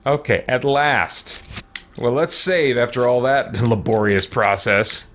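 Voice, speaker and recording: A man talking casually on a livestream as he plays a game, halting and thinking aloud. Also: medium pitch, a bit bright. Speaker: livestream game player and host. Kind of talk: casual livestream chat while playing. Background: game music.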